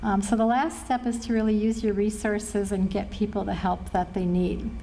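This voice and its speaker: A middle-aged woman with an American accent giving an earnest, measured training presentation to a group as a presenter. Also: mid-range voice, flat delivery, warm tone, slight background noise. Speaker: suicide prevention trainer and presenter. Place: presentation room at a care facility.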